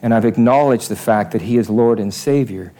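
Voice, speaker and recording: A man's voice: on the low side, fairly quick, dark tone, poor audio. A male pastor giving a conversational sermon in church, repeating phrases for emphasis.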